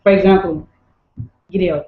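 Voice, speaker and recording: An upbeat woman from Nigeria, co-hosting a casual conversation and moving it along. Her voice is mid-pitched and sounds dull and lo-fi. The room around her is echoey. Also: fairly even intonation, moderate pace. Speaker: co-host. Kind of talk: casual chat.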